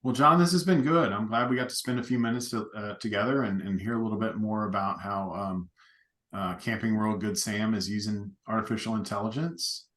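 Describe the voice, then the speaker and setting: A man hosting an interview over a video call, sharing a past project in a relaxed way. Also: fairly low pitch, a little fast. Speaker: host. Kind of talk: casual interview chat.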